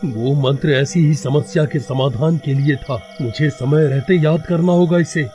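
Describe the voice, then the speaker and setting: A man's voice: fairly high pitch, a little slow, warm tone, soft background music, lightly acted. A man playing a frightened, desperate man trapped in a cursed sleep, in an anxious, dramatic horror-story delivery. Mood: desperate, worried.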